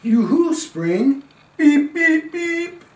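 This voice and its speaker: An older man, around seventy, reading a children's picture book aloud in an expressive, singsong and playful way. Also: very high-pitched. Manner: slow, singsong, playful calls with quieter asides. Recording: roomy, a little far from the mic.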